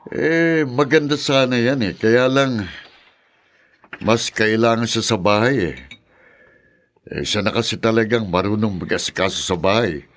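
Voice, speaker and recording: A man's voice: on the low side, measured pace, pauses often, close to an everyday voice. An actor playing a bitter, domineering male guardian in a radio drama, with a stubborn, emphatic manner. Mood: evasive, reluctant. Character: Gardo, bitter, domineering, resentful guardian man. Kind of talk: Tagalog radio drama acting.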